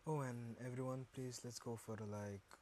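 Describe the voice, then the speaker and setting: A man with a deep voice giving casual gameplay commentary, repeating words as he mutters to himself. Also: fairly even intonation, moderate pace, a little mellow, a little hiss or hum. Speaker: gaming video host.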